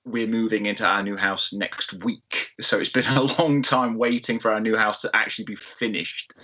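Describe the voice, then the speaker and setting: A man from England, a guest guitarist on a video-call podcast, easygoing and quick to laugh. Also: lowish voice, steady tone, sharp tone. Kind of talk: casual video-call interview. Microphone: webcam on a video call.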